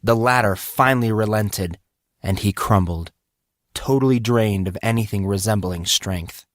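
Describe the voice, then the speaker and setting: A male audiobook narrator with an intense, deliberate delivery. He reads dramatic fiction slowly in a dry, echo-free recording. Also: lowish voice.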